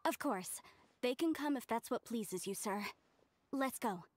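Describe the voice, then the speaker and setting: Higher-voiced studious researcher. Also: female voice, expressive, a little slow, darkish tone. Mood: polite, discreet. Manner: polite, careful, explanatory. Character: polite, studious female researcher, thoughtful and tactful. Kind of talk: English game voice acting.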